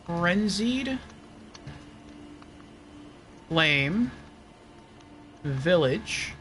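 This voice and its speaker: A man's voice: high voice, very animated, drawn-out words, pushed and bright, slight background noise. A man giving casual livestream game commentary, thinking aloud and reasoning things out step by step.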